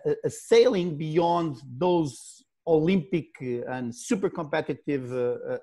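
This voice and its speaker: A man's voice: a little high, a little mellow. A middle-aged man with a Portuguese accent, speaking as a guest on a video call, often stalling on repeated words. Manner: halting, many repeated "and" restarts. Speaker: sailing company CEO, guest.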